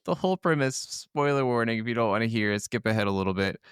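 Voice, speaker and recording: A man's voice: mid-range voice, fast, smooth, no room sound, clean studio sound. A man co-hosting a casual gaming podcast, talking in an enthusiastic, profanity-laced way. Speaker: podcast co-host.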